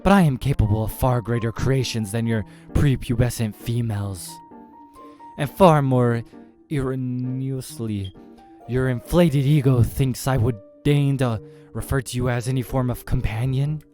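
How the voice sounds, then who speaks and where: A man's voice: mid-range voice, measured pace, warm tone, soft background music, voice pushed up, close to an everyday voice. A man voicing a pompous, menacing female eldritch monster for a horror game, speaking in grandiose threats. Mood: scornful.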